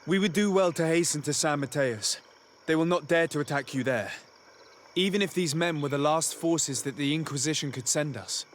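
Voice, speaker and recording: A man's voice: on the high side, moderate pace, a little hiss or hum, natural voice. Man as composed, light-voiced noble envoy. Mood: reassuring. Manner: calm, formal, articulate. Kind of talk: video game drama acting.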